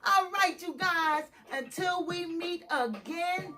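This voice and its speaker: Female children's storytime reader, very high register, extremely unhurried, expressive and direct to kids. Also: soft background music. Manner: expressive, talks directly to young listeners. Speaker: storytime host and picture book reader. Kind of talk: children's read-aloud storytime.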